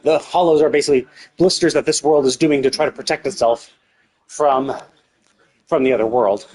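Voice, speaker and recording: A man's voice: mid-range voice, moderate pace, a little mellow. A man livestreaming a game, thinking aloud in a casual, rambling, hesitant way with some swearing. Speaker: live game streamer.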